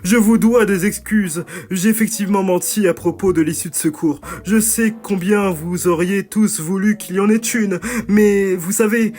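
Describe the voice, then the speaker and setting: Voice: mid-range voice, close to an everyday voice. An actor voicing a theatrical, bombastic male ringmaster of a digital circus in a French-language cartoon dub, with grandiose showman delivery. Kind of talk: animated series dub.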